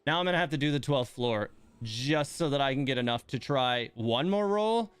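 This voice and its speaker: A man doing casual live gameplay commentary, rambling and thinking out loud. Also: mid-range voice, lively intonation, normal speed, smooth. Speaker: live streamer.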